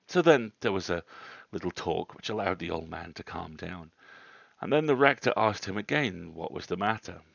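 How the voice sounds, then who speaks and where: Male story reader, low gravelly voice, narrating classic ghost fiction for a livestream. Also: expressive, normal speed. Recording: roomy, dull livestream audio.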